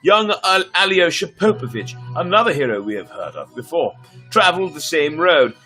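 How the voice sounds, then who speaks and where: A man's voice: a little high, very animated, moderate pace, bright, forceful tone. A man telling a folk tale as on a live stream, reading dramatically with casual asides and stumbling over names. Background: background music.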